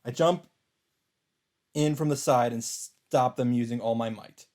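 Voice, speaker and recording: A man's voice: mid-range voice, unhurried, darkish tone. A man reading the narration of a visual novel aloud as a let's play host. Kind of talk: story narration read aloud. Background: faint game music.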